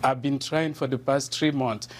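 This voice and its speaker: A man's voice: mid-range voice, steady tone, normal speed. A man from Cameroon with a Cameroonian French accent, a journalist answering questions in a TV interview in an earnest, indignant way. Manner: earnest, indignant, with fillers and repeated starts. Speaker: journalist guest.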